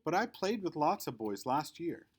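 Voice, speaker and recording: Storybook role: curious, earnest little schoolgirl, performed by a man, questioning, with lively pitch movement. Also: moderate pace, breathy edge. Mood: puzzled. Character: eager, curious young third-grade girl narrator.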